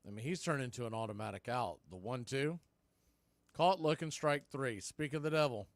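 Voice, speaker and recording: A man's voice: mid-range voice, moderate pace, vocal fry, no echo. A man giving live baseball play-by-play as announcer and host, speaking in clipped phrases with brief asides.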